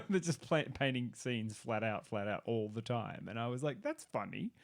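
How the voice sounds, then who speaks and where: A man's voice: medium pitch, very animated, a little slow, vocal fry. A man on a podcast panel, chatting casually and explaining things in short punchy phrases. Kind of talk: casual pop-culture discussion.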